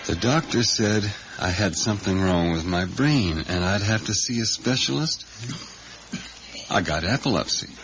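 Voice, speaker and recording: Simple, plainspoken small-town diner hand, low voice, intrusive and blunt; old radio play over clear music. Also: male voice, expressive, moderate pace, a bit bright. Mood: plaintive, confiding. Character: lonely, simple, blunt male diner worker. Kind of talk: 1980s radio drama acting. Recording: muffled radio recording, music behind.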